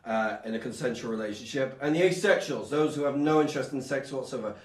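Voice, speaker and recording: A man performing a stand-up comedy routine in a rambling, animated way, often interrupting himself. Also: mid-range voice, quick pace. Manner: rambling, self-interrupting, animated, sometimes shouting. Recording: echoey room, distant microphone.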